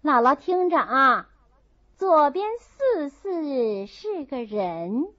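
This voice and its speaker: A woman voicing a lively young maidservant who calls out drinking-game verses in a classic novel reading. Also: high-pitched, drawn-out words, no room sound, pitched above the performer's own voice, heavily acted. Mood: bright, calling out. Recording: dull, muffled audio.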